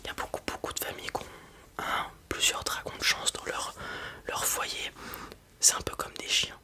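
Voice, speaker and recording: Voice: moderate pace, bright, forceful tone. A performer voicing a reassuring fantasy dragon breeder in an ASMR roleplay, whispering breathily and explaining things informally, with small hesitations. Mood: informal, explanatory.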